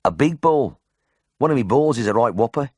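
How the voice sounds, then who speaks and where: A man's voice: medium pitch, fast talker, darkish tone, no echo, clean studio sound, voice pushed up, close to an everyday voice. A man voicing a naive, anxious young working-class hospital patient with a Birmingham accent, blunt and confiding, in an audiobook. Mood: confiding worry. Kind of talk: audiobook character voice.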